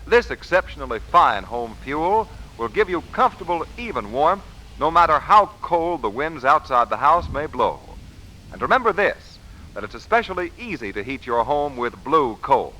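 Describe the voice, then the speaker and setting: A man's voice: a little high, sing-song, moderate pace, bright, forceful tone, poor audio. A man as a radio announcer reading a scripted vintage advertisement with polished, emphatic salesmanship. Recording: hissy vintage radio recording.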